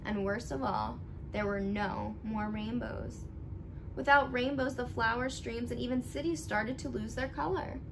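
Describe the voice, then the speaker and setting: High-voiced young woman reading a children's story. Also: a little slow. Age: young adult. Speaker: storybook reader. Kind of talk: expressive children's read-aloud. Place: living room at home. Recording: clearly noisy home recording.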